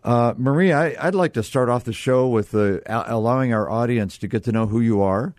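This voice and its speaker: An American man hosting a casual radio interview in a halting, conversational way. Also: medium pitch, darkish tone, no echo, studio quality. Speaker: radio show host.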